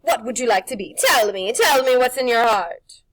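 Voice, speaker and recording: Loud, menacing witch creature, high-pitched. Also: animated, normal speed, dry recording. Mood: menacing, mock-sweet. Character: slimy, menacing female witch creature, bullying then panicking.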